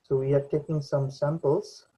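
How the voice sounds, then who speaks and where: Young man, mellow voice, halting demo narration. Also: fairly high pitch, level tone, breathy edge. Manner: hesitant, halting delivery. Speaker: presenter demonstrating a VR training simulation. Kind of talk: unscripted screen demo voice-over. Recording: dull lo-fi computer mic recording.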